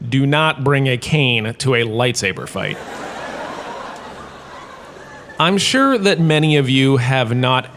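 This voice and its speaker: An actor playing a vain, washed-up star turned professor, lecturing in a pompous, theatrical, self-satisfied way for a comedic audio drama. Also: male voice, medium pitch, fairly bright tone. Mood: lecturing, smug.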